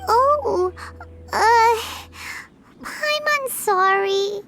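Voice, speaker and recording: A woman voicing a small, excitable, childlike fairy companion in a video game dub, squeaky and nosy, full of exclamations. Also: very slow, exaggerated voice. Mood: tearful, sheepish. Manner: speaks of self in third person, exclamatory. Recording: game music behind the voice.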